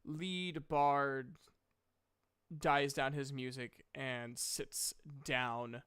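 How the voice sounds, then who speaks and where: Slow, bright-voiced male game master. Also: mid-range voice, steady tone, clear, resonant tone. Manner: halting, thinking aloud, playful asides. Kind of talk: improvised narration of a tabletop fantasy game.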